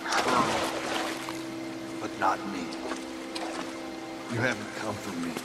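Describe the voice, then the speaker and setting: A deranged male veteran in a game drama, a soldier who believes he fights for God. His voice is low and eerily subdued. Heavy rain and water noise run loudly behind him. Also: slow pace. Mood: wary, searching. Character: unhinged war veteran, soldier fixated on God. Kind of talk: video game dramatic acting.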